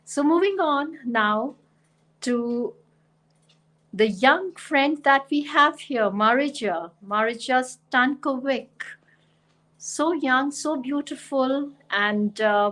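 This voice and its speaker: A woman's voice: high-pitched, poor audio. A woman hosting an online poetry reading, introducing poets with effusive praise. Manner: warm, effusive praise of guests. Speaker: host.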